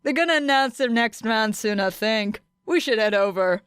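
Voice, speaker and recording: A woman's voice: on the high side, expressive, moderate pace, fairly bright tone, clear, resonant tone, no echo. Casual, grinning teenage boy, voiced by a female reader, clean and dry, audiobook. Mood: friendly. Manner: casual, chummy.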